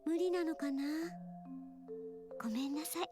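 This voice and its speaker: A young woman playing a gentle, wistful, polite schoolgirl reading her letter aloud in a visual novel game. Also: sits high, fast, lightly acted. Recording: background music over game dialogue.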